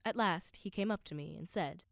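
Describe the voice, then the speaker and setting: Low-toned woman as earnest seafaring scholar narrator. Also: moderate pace, creaky, no room sound, clean studio sound. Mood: measured, puzzled. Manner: dramatic, precise, literary delivery. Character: earnest scholar narrating a perilous undersea voyage. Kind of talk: scripted radio play narration.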